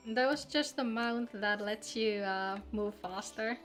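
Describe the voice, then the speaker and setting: High-voiced woman chatting playfully on stream. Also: measured pace, fairly bright tone. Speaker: game streamer. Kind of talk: casual livestream chat while gaming. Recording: background music behind the voice. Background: music.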